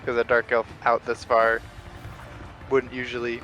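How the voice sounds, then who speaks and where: A man with a bright, forceful voice plays a tabletop game. He speaks casually and haltingly, stumbling over words, with faint music behind him. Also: mid-range voice, completely flat delivery, dull audio. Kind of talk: casual roleplay chat among friends.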